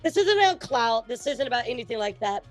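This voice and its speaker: A woman's voice: a little high, very animated, fast, soft background music. A woman interviewing a guest in an online chat, talking casually with plenty of fillers.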